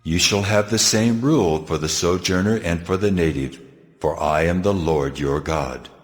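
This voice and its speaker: An actor playing a solemn, commanding God who declares laws in a dramatized scripture audiobook, with music underneath. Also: male voice, low-pitched, moderate pace, close to an everyday voice.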